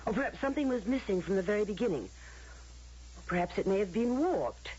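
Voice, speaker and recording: An actress playing a stern, coldly persuasive authority figure, lecturing in a deliberate, declamatory way in an old-time radio drama. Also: female voice, mid-range voice, lively intonation, darkish tone, slight background noise, lightly acted. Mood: cold, grave. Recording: dull, lo-fi radio drama recording.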